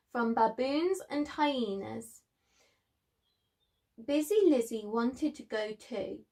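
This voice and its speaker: Slow, high-voiced woman at story time. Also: creaky, fairly bright tone. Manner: encouraging, addressing small children. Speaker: preschool story-time presenter. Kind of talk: storytelling for young children.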